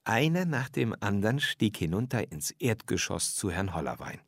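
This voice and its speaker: A man narrating a scripted children's story, reading aloud expressively and with clear phrasing. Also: lowish voice, very animated, normal speed, no echo, studio quality.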